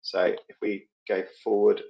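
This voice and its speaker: A man with a lowish voice presents on a farming webinar over a video call. He speaks haltingly and keeps correcting himself, and the call audio sounds dull and lo-fi. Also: little pitch movement, gravelly. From England. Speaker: monitor farmer. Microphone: webcam video call.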